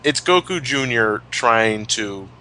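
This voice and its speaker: A male podcast co-host with a bright, forward voice. His pitch moves around in a lively way as he chats, and he is blunt and happy to swear. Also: slow pace, poor audio. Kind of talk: casual chat, retrospective commentary.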